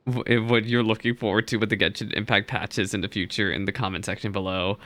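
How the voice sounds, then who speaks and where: A man's voice: lowish voice, a bit bright, no echo. A young man hosting a gaming reaction video with informal, rambling commentary.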